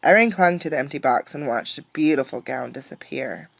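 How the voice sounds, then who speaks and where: A woman reading a storybook aloud as a teacher, expressively, pausing to ask listeners questions. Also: extremely low pitch, creaky, no echo. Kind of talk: interactive storybook read-aloud.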